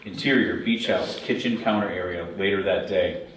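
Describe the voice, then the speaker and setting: A man's voice: deep voice, normal speed. A man reading stage directions as the narrator of a screenplay table read. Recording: very echoey room, distant, faint noise.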